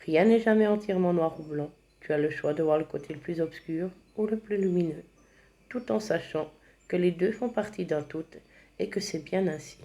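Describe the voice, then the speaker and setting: A woman giving a spiritual oracle card reading, speaking slowly and gently, slightly congested from a cold. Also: on the low side. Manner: slow, gentle, reads card texts aloud.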